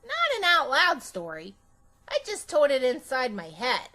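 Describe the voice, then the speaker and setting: A woman voicing a chatty, imaginative, bossy little girl in an animated children's storybook read-aloud, with childish, emphatic phrasing. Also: high-pitched, measured pace, full character voice. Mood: chatty, earnest.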